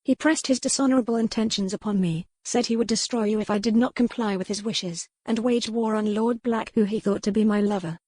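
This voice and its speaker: A woman's voice: medium pitch, steady tone, fairly quick, hardly any pauses, darkish tone, no room sound, pitched above the performer's own voice, close to an everyday voice. A woman acts out a young noblewoman of historical romance. Her voice is lifted and dry, with no room around it. She pleads earnestly in formal, old-fashioned phrasing. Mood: earnest, defiant.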